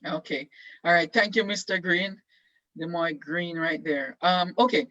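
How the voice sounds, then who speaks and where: A man's voice: fairly high pitch, steady tone, measured pace, bright, forceful tone, no echo, dull audio. A man casually hosting an online event as he introduces guests. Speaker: host. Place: online video call.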